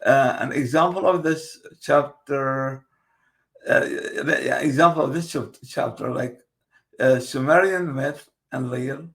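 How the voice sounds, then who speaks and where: Slow, gravelly man, native Kurdish speaker. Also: mid-range voice, a bit bright. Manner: halting, many pauses and fillers. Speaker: guest, translator. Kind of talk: casual interview conversation.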